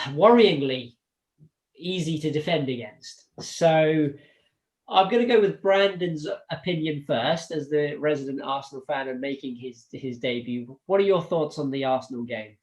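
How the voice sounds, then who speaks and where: A man hosting a casual football chat, relaxed and chatty. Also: medium pitch, poor audio. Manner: relaxed, chatty, sighs and laughs. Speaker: podcast host.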